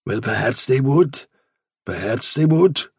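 A man voicing an elderly rabbit chief in a storybook, politely condescending, vague and evasive, with drawn-out, bumbling courtesy. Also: medium pitch, expressive, slightly rough, no echo, clean studio sound, full character voice. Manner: bumbling, over-courteous, drawn-out patronising phrasing.

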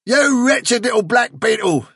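A furious storybook rabbit played by a man, raised well up in pitch and husky at the edges. He scolds and sneers with heavy sarcasm, hitting his words hard. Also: expressive, unhurried, fairly bright tone, no echo, studio quality, exaggerated voice. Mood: furious scorn. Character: furious, blustering, scornful male rabbit fighter. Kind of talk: storybook character dialogue.

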